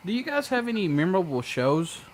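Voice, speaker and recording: A man's voice: sits high, sing-song, a little fast, a crowd in the background. A man in his thirties hosting an interview in casual chat, laid-back and chatty. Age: 30s. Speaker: radio show host.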